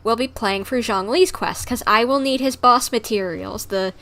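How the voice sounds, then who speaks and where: A woman chatting casually on a gaming livestream, rambling along with animated griping. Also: fairly high pitch, moderate pace. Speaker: streamer.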